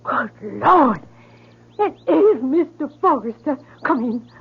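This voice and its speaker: High-voiced, feisty Southern country woman. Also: lively intonation, slow pace, vocal fry, slightly rough, exaggerated voice. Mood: concerned, insistent. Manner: blunt, stubborn, folksy. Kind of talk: old-time radio drama acting. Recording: dull old radio recording.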